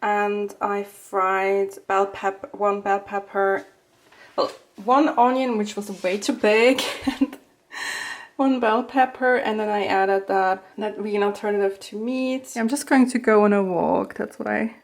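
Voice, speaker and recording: Unhurried Swiss-raised woman chatting. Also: medium pitch, fairly even intonation. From Switzerland. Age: 20s. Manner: unhurried, chatty, with fillers and restarts. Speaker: vlogger. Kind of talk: casual day-in-my-life vlog. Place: home kitchen.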